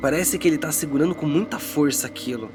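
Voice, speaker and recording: A man's voice: fairly high pitch, fast, music in the background. A Brazilian man narrating a tabletop game as game master in a casual, descriptive way, checking in with his players.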